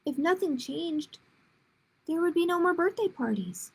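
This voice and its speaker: A woman voicing a gentle, reassuring father bear from a picture book, patiently explaining things to a child. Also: extremely high pitch, animated, unhurried, vocal fry, voice pushed up, heavily acted.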